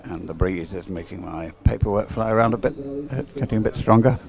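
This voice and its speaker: A man's voice: lowish voice, fairly quick, gravelly, crowd noise. A man giving unscripted live sports commentary in a hesitant, rambling, conversational way. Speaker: race commentator. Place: outdoors beside the water at a regatta.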